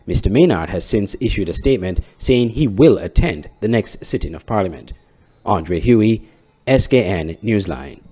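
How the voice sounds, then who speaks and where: A man's voice: fairly low pitch, lively intonation, moderate pace, warm tone, husky. A man, reading a scripted report as a news reporter.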